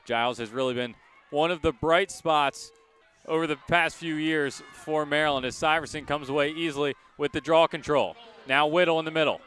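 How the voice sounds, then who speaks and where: A man calling live college lacrosse as a radio play-by-play announcer, in crisp short present-tense phrases, outdoors at a sports field. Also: a little high, steady tone, normal speed, a bit bright.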